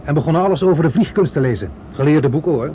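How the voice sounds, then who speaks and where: A man's voice: fairly high pitch, rapid-fire, natural voice, poor audio. An actor playing Orville Wright, an eager young inventor explaining his airplane in a 1970s radio play. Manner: eager, chatty, keeps prodding the listener. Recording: radio play with loud outdoor background noise.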